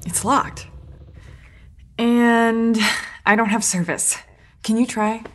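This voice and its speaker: A woman playing a plucky, impatient young adventurer in an audio drama, with an urgent, expressive delivery. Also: fairly high pitch, slow, a little hiss or hum. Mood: determined. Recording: music under the voice.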